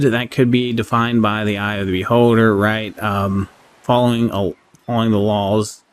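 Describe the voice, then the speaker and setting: A man giving casual, unscripted game review commentary in a halting, conversational way. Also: fairly low pitch. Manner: halting, conversational, often checks in with "right?". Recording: clearly audible background noise.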